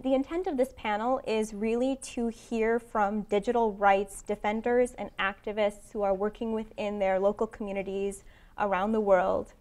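A woman moderator introducing a panel in a semi-formal, spontaneous way on stage. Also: medium pitch. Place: seated on stage in a conference room.

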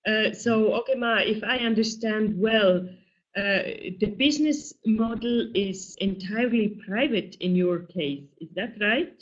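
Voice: medium pitch, a little slow. A webinar moderator who asks polite questions with many hesitant fillers, speaking over a video call. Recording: dull lo-fi call audio.

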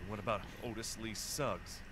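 An actor playing a tense police officer in an audio drama, giving clipped, urgent commands and reports. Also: male voice, on the low side, fairly even intonation, moderate pace, noisy background, natural voice. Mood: stern, wary.

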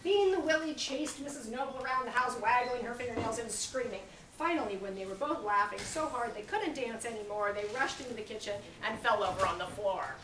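In a reverberant, noisy library room, picked up from a distance, a middle-aged American woman reads a children's story. Her delivery is animated and projected for young listeners. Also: fairly high pitch, a little fast, hardly any pauses. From California, US. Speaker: children's author reading at a library. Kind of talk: expressive children's story reading. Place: library reading area, indoors. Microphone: camera a few metres away. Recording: echoey, noisy room, distant camera mic.

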